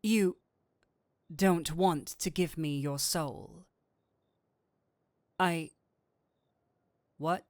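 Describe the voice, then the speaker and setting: A man acts out a nerdy male incubus demon in an intimate audio roleplay. He speaks slowly and theatrically, teasing and coaxing with a kindly streak. The sound is dry, with no room around the voice. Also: fairly high pitch, smooth, dry recording. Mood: flustered. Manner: coaxing, playful, theatrical switches between seductive and flustered.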